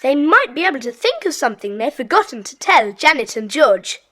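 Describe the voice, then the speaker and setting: A schoolboy gang leader in a children's audio play. The voice is high and very lively, recorded close and dry. He is brisk, bossy and sure of his plans. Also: no echo, heavily acted. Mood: thoughtful. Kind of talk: children's audio drama acting.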